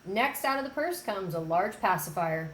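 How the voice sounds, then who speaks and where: A woman's voice: mid-range voice, lively intonation, moderate pace, fairly bright tone. A woman reading stage directions as the narrator of a screenplay table read. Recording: echoey room, background noise.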